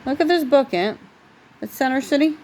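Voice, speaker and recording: A woman with a slightly high voice reads a children's bedtime story aloud. She tells it expressively, like a parent at home, and drops into informal asides along the way. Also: moderate pace, a little mellow, slight background noise, rough recording.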